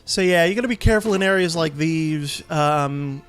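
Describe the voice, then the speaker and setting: A man's voice: a little high, normal speed, a bit bright, clear, resonant tone, faint music behind. A man giving casual, easygoing commentary as he plays and explains a video game. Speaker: let's play host and player.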